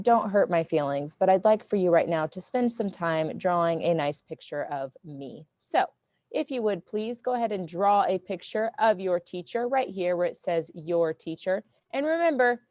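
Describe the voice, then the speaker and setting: Loud, goofy woman teacher. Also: mid-range voice, no echo. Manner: loud and goofy, checks in with "okay?". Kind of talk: classroom lesson to children.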